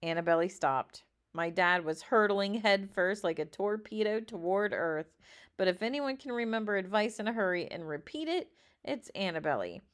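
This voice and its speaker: A woman reading a children's story aloud expressively, with dramatic drawn-out emphasis. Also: mid-range voice, lively intonation. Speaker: storybook reader.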